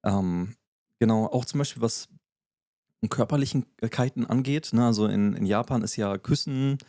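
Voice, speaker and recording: A man's voice: medium pitch, moderate pace, dark tone, dry recording, studio quality. A man co-hosting a podcast, explaining things in a casual, filler-heavy way. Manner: explanatory, many fillers and restarts. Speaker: podcast co-host.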